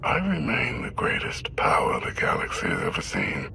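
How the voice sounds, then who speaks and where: An actor playing a brooding, cold tyrant emperor in a video game, speaking with theatrical, menacing grandeur. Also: male voice, mid-range voice, huge pitch swings, normal speed, gravelly, bright, forceful tone, whispered, full character voice. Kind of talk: dramatic video game acting.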